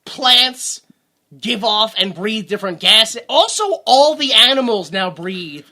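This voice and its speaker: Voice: mid-range voice, lively intonation, a little slow, sharp tone. A podcast co-host in casual movie-review chat, rambling and sarcastic, often breaking off mid-sentence. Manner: rambling, self-interrupting, sarcastic.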